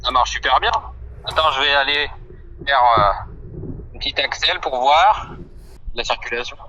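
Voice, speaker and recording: Piercingly bright man over muffled intercom. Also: mid-range voice, a little slow, slight background noise. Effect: helmet intercom call. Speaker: motorcycle rider on a test call. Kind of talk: casual chat. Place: on a moving motorbike outdoors. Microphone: helmet intercom. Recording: muffled, band-limited helmet intercom call.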